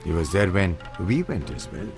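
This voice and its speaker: An actor playing a wronged, courteous, wealthy householder in a radio drama, speaking formally and with measure. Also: male voice, deep voice, big pitch swings, moderate pace, vocal fry, a little mellow, heavily acted. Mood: grave, formal. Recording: radio play with music underneath.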